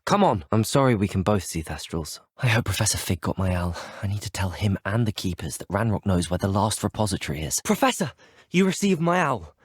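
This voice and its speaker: A polite, brave young British wizard student in a fantasy video game. His formal, well-spoken delivery moves with lively intonation and has a breathy, husky grain. The voice sits a little low and is recorded very dry and close. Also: a little fast, no echo. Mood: urgent, determined. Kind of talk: scripted game dialogue.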